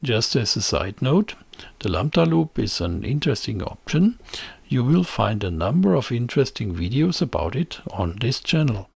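A middle-aged man reading a scripted technical tutorial in a measured, explanatory way into a close microphone. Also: mid-range voice, very animated, gravelly, darkish tone, breathy edge, no echo. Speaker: presenter of an electronics tutorial channel. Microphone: close voice-over microphone.

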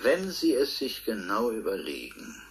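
An actor playing a brooding male scientist who muses philosophically in a deliberate, declamatory radio drama style. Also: on the low side, wildly swooping pitch, fairly bright tone, heavily acted. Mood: brooding, defiant.

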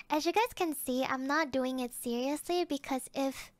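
Very high-pitched, playful young-sounding woman. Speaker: virtual streamer. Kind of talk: playful livestream voice-acting of alarm messages.

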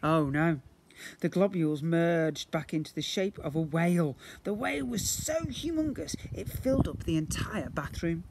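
Man, very lively storybook reader. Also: a little high, normal speed. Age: middle-aged. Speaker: teacher reading to young pupils. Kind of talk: animated children's storybook narration. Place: outdoors in a brick-walled yard. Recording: noisy outdoor handheld recording.

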